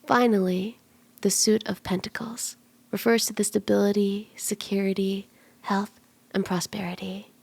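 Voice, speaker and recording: A woman voicing a cute, friendly kitsune tarot mystic in an ASMR roleplay, gentle, playful and unhurried, soft-spoken and often slipping toward a whisper. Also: mid-range voice, flat delivery, gravelly, breathy edge, no echo. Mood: calm, hushed. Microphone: close ASMR microphone in a dry space.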